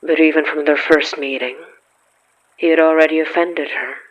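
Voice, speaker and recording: A man reading scripted horror fiction as a narrator, solemn and measured with dramatic pauses. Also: a little high, fairly even intonation, a bit bright, highs cut off.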